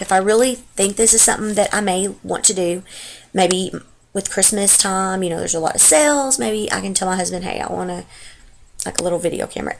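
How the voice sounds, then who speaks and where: A young mother with a heavy Texas drawl talks off the top of her head, full of fillers and restarts. Her voice has a gravelly fry. It is picked up by a computer webcam at home with faint background hiss. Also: medium pitch. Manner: chatty and rambling, many fillers. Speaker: stay-at-home mom vlogger. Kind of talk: casual unscripted vlog chat. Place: home, partly the living room. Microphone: computer webcam mic.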